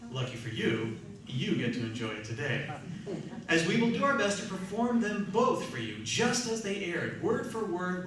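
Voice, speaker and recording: A man's voice: fairly high pitch, a bit bright. Male theatre host, showmanlike prepared introduction, distant mic, strong room echo and faint noise. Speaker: host of a live radio theatre troupe. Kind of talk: prepared stage introduction to audience. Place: large echoey room, live performance.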